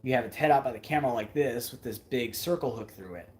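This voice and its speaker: Husky man chatting casually on a livestream. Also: mid-range voice, fast, a little mellow. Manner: rambling, thinking aloud, emphatic repetition. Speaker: fishing video creator hosting a livestream. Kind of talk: casual livestream chat answering viewer comments.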